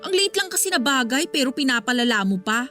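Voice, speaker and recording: A woman's voice: high voice, sing-song, fast, sharp tone, faint music behind, full character voice. A woman with a Filipino accent playing a defiant, argumentative mistress who talks back in a radio drama. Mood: defensive, sassy. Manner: sassy, argumentative.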